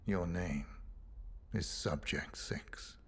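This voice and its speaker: A very deep, slow male voice speaks over loud music. a stoic contract killer in a game cutscene. The delivery is terse, cold and controlled, with a gravelly edge. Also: full character voice. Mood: haunted, subdued. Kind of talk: dramatic video game cutscene acting.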